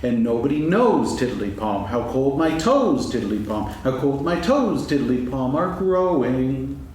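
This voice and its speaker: Echoey, distant stage recording. Simple-hearted storybook bear played by a man, earnest and musing, highly animated delivery. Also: measured pace. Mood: singsong humming. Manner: earnest, musing, hums in singsong. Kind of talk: staged dramatic reading of a children's story. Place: stage before an audience, seated reading.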